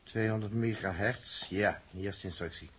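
An actor with a Netherlands Dutch accent playing an excitable, fretful spaceship engineer in a 1960s radio drama, with a husky voice. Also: male voice, fairly low pitch, normal speed, a little hiss or hum, lightly acted. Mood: muttering, worried. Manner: swings from muttering to agitated outbursts.

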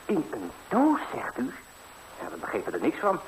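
Lively, high-voiced naive lad. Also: male voice, moderate pace. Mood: chatty storytelling. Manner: hesitant, artless, breezily rambling. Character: naive, chatty young lad, a cheerful fairy-tale hero. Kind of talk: 1960s Dutch radio fairy-tale acting. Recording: faintly noisy 1960s radio recording.

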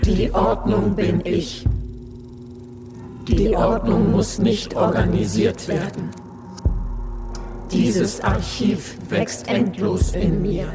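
An actor in a radio play voicing an all-controlling, brooding machine that speaks in a deliberate, imperious way. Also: mid-range voice, over loud music. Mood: brooding, resentful.

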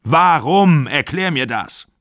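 A man's voice: medium pitch, expressive, moderate pace, no room sound, studio quality. A man voicing a furious, bitter aging actor of sixty-five who rants and accuses. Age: 60s. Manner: ranting, accusing, shouting.

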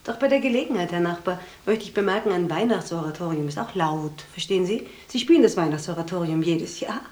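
Fast, sardonic low-voiced prostitute. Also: female voice, expressive, a little mellow. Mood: mocking. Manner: sardonic, unsentimental retorts. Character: cool, sharp-tongued Viennese prostitute named Rita. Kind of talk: radio drama acting.

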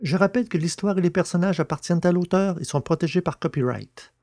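A man's voice: sits high, quick pace, a little mellow. A man presenting a podcast as host and narrator, reading scripted credits and announcements.

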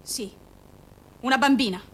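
An actress in an Italian radio play playing a grave forensic doctor, firm and controlled, with an insistent, blunt way of talking. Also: female voice, a little high, fairly bright tone, breathy edge. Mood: pleading. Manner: measured, insistent, bluntly direct.

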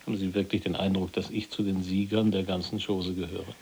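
An actor playing a calm, educated Polish resistance fighter in prison, speaking with measured, formal precision in a radio drama. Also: male voice, deep voice, gravelly, slight background noise. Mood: wry. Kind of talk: German radio drama dialogue.

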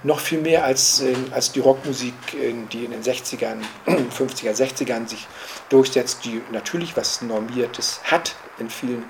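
A man's voice: mid-range voice, normal speed, slight background noise, rough recording. A mature man speaking spontaneously and analytically as a cultural commentator, searching for words and often adding little tag questions. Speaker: cultural commentator and jazz enthusiast.

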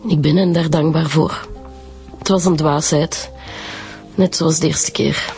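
A woman's voice: sits low, steady tone, a little slow, a little mellow, soft background music. An actress with a Flemish accent playing a bitter, vengeful woman in a radio drama. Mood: resigned. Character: bitter, vengeful woman confronting those who wronged her.

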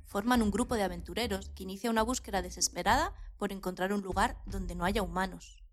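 Medium-pitched Spanish woman reading narration. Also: quick pace, faint music behind. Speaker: radio programme co-host. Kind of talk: scripted narration.

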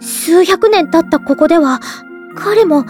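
A woman's voice: extremely high pitch, unhurried, darkish tone, faint music behind. An actress playing a flamboyant, self-admiring ageless elf artist, narrating her own story in a theatrical, feminine anime drama style. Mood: distraught.

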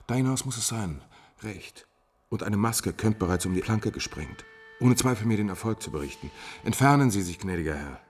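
Ein Schauspieler spielt in einem Hörspiel der 1950er einen intriganten, kaltblütigen Höfling, der berechnend, bedächtig und einschmeichelnd spricht. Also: male voice, on the low side, expressive, a little hiss or hum, lightly acted. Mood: urgent, plotting. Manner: calculating, coaxing, deliberate. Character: scheming, cold-blooded male courtier, smooth servant of a prince. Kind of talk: 1950s German radio drama acting.

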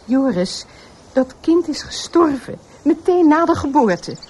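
A woman's voice: high-pitched, big pitch swings, measured pace, a little mellow, a little hiss or hum. An actress playing an anxious, guarded woman with a defensive manner in a radio drama. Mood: defensive, pleading. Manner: hesitant, defensive. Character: anxious, guarded woman haunted by former lover. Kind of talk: Dutch radio drama acting.

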